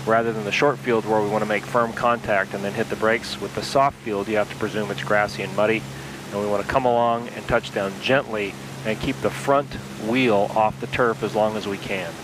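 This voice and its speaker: Relaxed man talking through a sim flight. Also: fairly low pitch, fairly quick, noisy background. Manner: relaxed, talking through each step aloud. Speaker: flight sim video host. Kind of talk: casual instructional narration while flying. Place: home flight simulator cockpit. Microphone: aviation headset.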